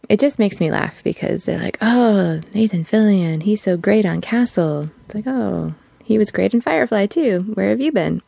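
A woman's voice: medium pitch, gravelly, mellow, no room sound. A woman hosting a podcast in casual, informal chat, acting out imagined remarks as she goes. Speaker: podcast host. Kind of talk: casual chat introducing a fan fiction reading.

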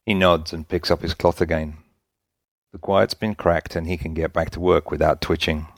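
A man's voice: deep voice, fairly even intonation, normal speed, no echo, studio quality. A man narrating a scripted story written in a folksy vernacular, as an audiobook-style reader.

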